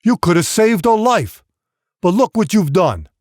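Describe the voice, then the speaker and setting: A male audiobook reader performs a harsh father tearing into his boy. The voice climbs higher than easy talk, emphatic and full of scorn. Every phrase lands as blame, in a close, dry recording. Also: very animated, unhurried, no room sound. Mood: scornful anger. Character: harsh, blaming, contemptuous father berating his young son. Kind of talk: audiobook character dialogue.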